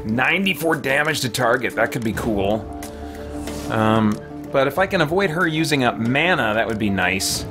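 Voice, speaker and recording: A middle-aged man hosts a casual gaming livestream from a small room at home, with a mid-pitched voice. He muses aloud as he plays, drops mild folksy exclamations and reads out chat messages. Game music plays clearly behind him. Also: animated, normal speed. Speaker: livestream host playing a video game. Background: video game music.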